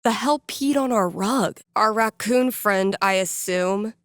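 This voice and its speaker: A woman's voice: mid-range voice, slow, no room sound, clean studio sound, close to an everyday voice. A woman voicing a wry, casual young American record store DJ in a video game, muttering to herself with self-amusement. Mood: startled, disgusted. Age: young adult. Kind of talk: naturalistic video game voice acting.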